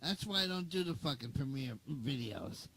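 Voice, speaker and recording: A man's voice: mid-range voice, animated, normal speed, fairly bright tone, breathy edge. A man who hosts a channel, ranting in a casual monologue, swearing a lot and repeating himself. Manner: profane, repetitive ranting. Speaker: channel host.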